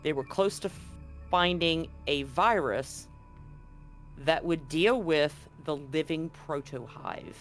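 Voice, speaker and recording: A man's voice: a little high, slow, fairly bright tone, faint music behind. A man acting as game master, narrating a tabletop roleplaying game and reading handouts aloud.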